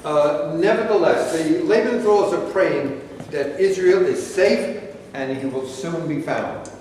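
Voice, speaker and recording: A man reading the part of a coach who gives measured, guarded answers to reporters in a staged play reading. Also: fairly high pitch, darkish tone, a little hiss or hum, natural voice. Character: guarded man fielding the press. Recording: echoey hall from far off.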